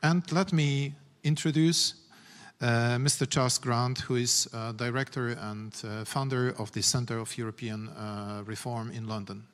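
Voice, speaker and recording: Male moderator, mid-pitched, halting formal intros, long hall reverb. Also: fairly even intonation. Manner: hesitant, many "uh" fillers.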